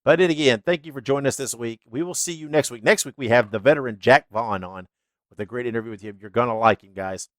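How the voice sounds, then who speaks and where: A man's voice: medium pitch, fast talker, fairly bright tone, no room sound, studio quality. A man hosting a wrestling podcast, chatty and laughing, sounding stuffy and congested. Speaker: podcast host. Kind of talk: casual podcast outro.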